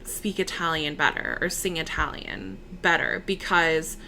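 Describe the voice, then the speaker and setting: Slow, bright-toned young woman chatting. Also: low-pitched, a little hiss or hum, poor audio. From United States. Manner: relaxed and chatty, many fillers, laughs easily. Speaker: interview guest, opera singer. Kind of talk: casual conversational interview.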